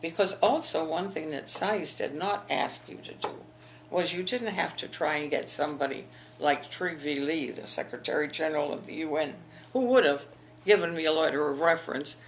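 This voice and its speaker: A woman's voice: sits low, moderate pace, slight background noise. An older woman from the eastern United States, telling her school memories in an oral history interview, rambling with frequent restarts. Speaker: interviewee, alumna.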